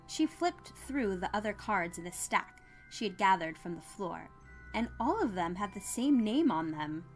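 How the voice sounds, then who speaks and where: A woman serves as narrator of an audio story. Her voice is medium in pitch and she reads scripted prose. Music plays underneath her. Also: big pitch swings, unhurried, low-quality recording.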